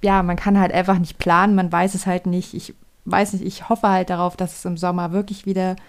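A woman's voice: lowish voice, level tone, a little fast. A young woman co-hosting a podcast in casual chat, speaking hesitantly with many fillers. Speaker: podcast co-host.

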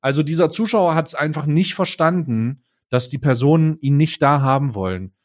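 A man co-hosting a podcast in casual chat, laid-back and halting, with many fillers and restarts. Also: fairly high pitch. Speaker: podcast co-host.

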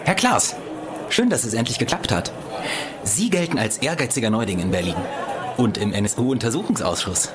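A man's voice: medium pitch, big pitch swings, moderate pace, close to an everyday voice. A man playing an evasive, self-justifying newspaper journalist in a radio play, polite but defensive. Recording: faint crowd and background noise.

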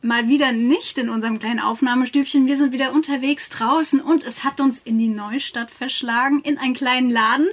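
A female podcast host with a high voice asks questions and guides the conversation. Her tone is breathy and a little husky, and she speaks on location in a small shop. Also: steady tone, a little fast, hardly any pauses. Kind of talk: casual podcast interview.

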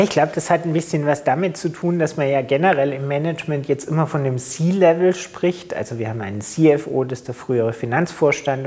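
A man with a cold and a congested voice, co-hosting a business podcast and explaining in a formal, measured way. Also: fairly high pitch, a little mellow. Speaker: podcast co-host, consultant.

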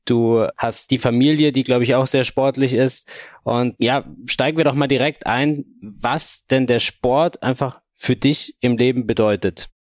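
A man co-hosts a podcast in an earnest, formal manner. His voice sits at a middle pitch. It is recorded close and dry, with no room sound. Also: steady tone, unhurried, no room sound. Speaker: podcast co-host. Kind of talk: semi-scripted podcast hosting.

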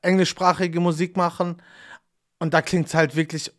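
A man's voice: a little high, flat delivery, normal speed, a bit bright. A man hosting a casual podcast interview, chatting loosely with lots of fillers and small self-deprecating jokes. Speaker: podcast host.

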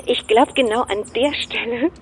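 A woman's voice: fairly high pitch, moderate pace, close to an everyday voice. An actress playing an affectionate, chatty middle-aged mother talking to her grown daughter in an audio drama. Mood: cheerful, chatty. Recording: clearly noisy background.

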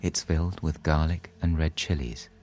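A man narrating a scripted bedtime story in a soothing, measured manner. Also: a bass voice, fairly even intonation, darkish tone, faint music behind.

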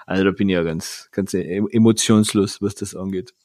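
A man's voice: sits low, fairly even intonation, normal speed, darkish tone, no echo, studio quality. Joking man, casual chat. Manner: joking, laughs often, guesses playfully.

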